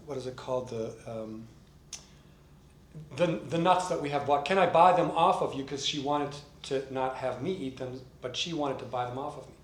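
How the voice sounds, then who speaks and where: A middle-aged man gives an informal talk at a church session. His voice is fairly high for a man, and he speaks like a casual storyteller.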